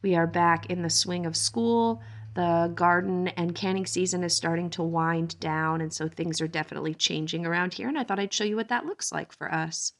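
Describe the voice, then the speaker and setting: Low, gravelly-voiced woman vlogger. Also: moderate pace. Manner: gentle, conversational, with frequent "um" fillers. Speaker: homesteading vlogger and mother. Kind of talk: relaxed voice-over narration of a daily-life vlog.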